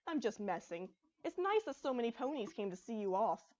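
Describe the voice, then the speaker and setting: A woman's voice: a little high, very animated, brisk. An easygoing young mare with a teasing manner, put on by a woman reader, chatting in a storybook tale. The recording is slightly dull. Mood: teasing, curious. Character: easygoing, teasing young female pony friend. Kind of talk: acted dialogue in fan fiction storybook reading.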